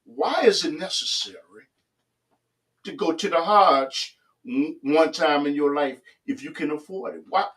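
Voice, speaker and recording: A man's voice: fairly high pitch, measured pace, fairly bright tone, recorded from a distance. A man hosting an interview, asking earnest questions and affirming his guest. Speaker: host.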